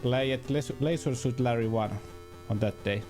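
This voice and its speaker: A man with a Finnish accent, a native Finnish speaker, giving casual livestream commentary as he plays, haltingly and searching for words. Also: medium pitch. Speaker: video game livestreamer. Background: game music.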